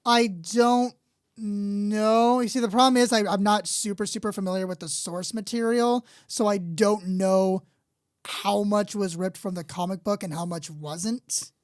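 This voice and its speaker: A woman's voice: mid-range voice, steady tone, measured pace, darkish tone, no echo, studio quality. A woman co-hosting a movie podcast, chatting casually with lots of restarts and fillers. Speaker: podcast co-host.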